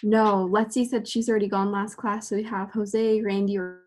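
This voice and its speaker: A woman on a video call explains the plan for a group task. She sounds relaxed and loose, leaning on fillers, her voice neither high nor low. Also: moderate pace. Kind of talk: classroom instructions.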